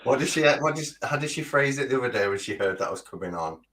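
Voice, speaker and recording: A man's voice: medium pitch, expressive, quick pace, fairly bright tone. A man speaking as a guest on a live stream, chatty and informal. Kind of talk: casual live-stream chat.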